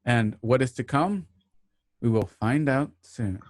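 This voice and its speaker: A man hosting an online radio drama, reading a scripted introduction with measured, announcer-like phrasing. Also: mid-range voice, lively intonation, dry recording.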